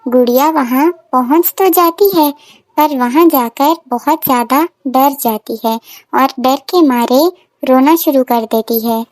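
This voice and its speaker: High-pitched woman storyteller. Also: unhurried, a little mellow, no echo. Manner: simple, repetitive storytelling for children. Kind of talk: scripted children's story narration.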